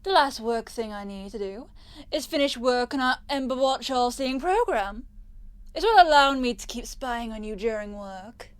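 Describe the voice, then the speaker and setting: A woman's voice: a little high, animated, normal speed, clear, resonant tone, dry recording, close to an everyday voice. A woman playing a possessive, smug rich young captor in an ASMR roleplay, teasing and condescending with a sweet menace. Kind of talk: ASMR roleplay audio drama.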